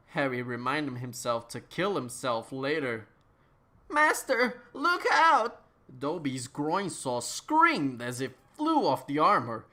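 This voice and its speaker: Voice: mid-range voice, pushed and bright. A performer giving a mock-dramatic, theatrical comedic reading of a story, sometimes tripping over words and repeating them. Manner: theatrical, stumbles and rereads phrases. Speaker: reader of a fan fiction.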